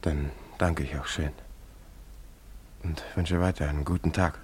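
An actor playing a lost young painter in a German radio play, polite, earnest and hesitant, with a bewildered, wistful air. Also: male voice, very deep voice, fairly even intonation, unhurried, a little mellow. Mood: wistful, subdued.

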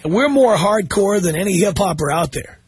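A man's voice: high-pitched, animated, brisk, slightly rough. A man hosting a call-in talk radio show, teasing and blunt with his callers in a chatty studio manner. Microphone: studio microphone.

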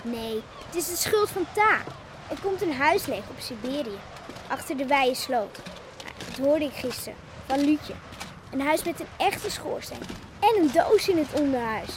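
Earnest girl, high voice, noisy recording. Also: expressive, moderate pace. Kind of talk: Dutch radio play acting. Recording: noisy old radio play recording.

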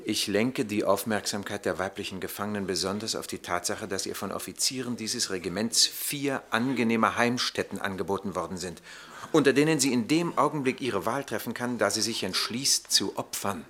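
An actor in a radio drama playing a stern Roman army captain who makes formal, clipped, coolly ironic pronouncements. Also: male voice, fairly low pitch, normal speed, a bit bright, close to an everyday voice.

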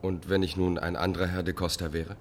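An actor in a German radio play playing an obsessed, insistent, formally spoken man with a husky voice. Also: sits low, level tone, quick pace, slight background noise, close to an everyday voice. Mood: curt. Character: obsessed, tightly wound male visitor, insistent and volatile.

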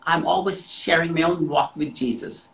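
A man's voice: on the high side, normal speed, vocal fry, a bit bright, poor audio. Emphatic man preaching. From Austria. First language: German. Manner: emphatic, repeats words for stress. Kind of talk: devotional sermon with interpreter.

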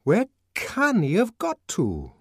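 Man playing a brisk, impatient gentleman, raised pitch. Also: wildly swooping pitch, creaky, slightly rough, no echo, clean studio sound, exaggerated voice. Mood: puzzled. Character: brisk, impatient educated man awaiting a friend.

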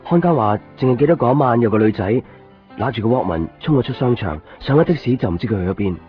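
A man's voice: medium pitch, animated, fast, close to an everyday voice. An actor playing a lovesick, obsessive young man in a Cantonese radio drama with a Hong Kong accent, plaintive and insistent. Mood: weary narrating. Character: lovesick young copy-shop man obsessively searching for missing girlfriend. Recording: muffled 1990s radio drama recording. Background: music.

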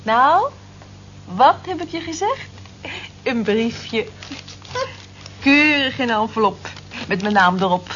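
A muffled old radio play recording with faint noise. a modest, earnest cleaning woman who talks slowly and politely in a mid-pitched voice. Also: animated, fairly bright tone. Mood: pleased.

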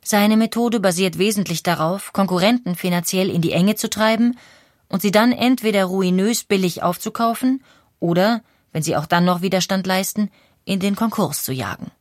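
Calm woman narrating a documentary. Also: medium pitch, normal speed, no room sound, studio quality.

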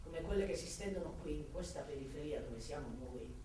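An actress playing a sardonic, brooding police detective in a theatrical radio drama monologue. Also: female voice, deep voice, animated, a little fast, mellow. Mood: brooding, bitter. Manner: dramatic, shifting from snappy retorts to pensive musing. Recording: distant, very echoey room, faint noise.

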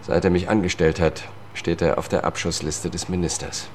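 Weary, deep-voiced middle-aged lawyer. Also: male voice, steady tone, moderate pace, a little mellow, slight background noise. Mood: wry, confiding. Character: weary disgraced Washington lawyer, confiding and haunted. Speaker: first-person narrator and lead character. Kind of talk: German radio drama, narration and dialogue. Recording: studio radio play with music.

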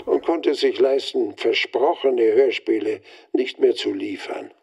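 Creaky, husky, wry radio veteran. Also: male voice, medium pitch, a little slow, darkish tone. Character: world-weary male radio veteran looking back, wry. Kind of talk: reflective radio play monologue.

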